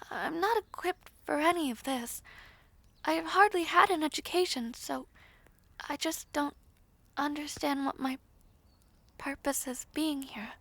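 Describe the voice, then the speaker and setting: High-voiced, shy young fiancée acted by a woman. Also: fairly bright tone, no room sound, full character voice. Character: shy, anxious, grateful young fiancée, formerly a maid. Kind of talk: fantasy audio roleplay acting.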